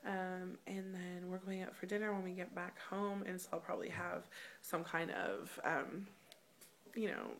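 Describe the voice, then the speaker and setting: A woman in her thirties speaking candidly in a casual personal vlog, her voice slightly rough, with many ums. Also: lowish voice, unhurried. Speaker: vlogger. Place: hotel room.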